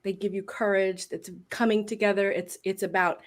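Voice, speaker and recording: A midlife woman with a big voice, telling her story as a voice coach in a casual interview, lively and laughing often. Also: medium pitch, normal speed. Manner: expressive storyteller with bursts of laughter. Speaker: voice coach and guest.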